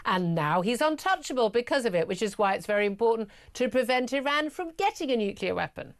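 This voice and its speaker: A woman's voice: a little high, animated, a little fast. A British woman hosts a live political debate on air. She presses her guest hard with pointed, repeated questions and doesn't let go. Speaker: radio talk show host.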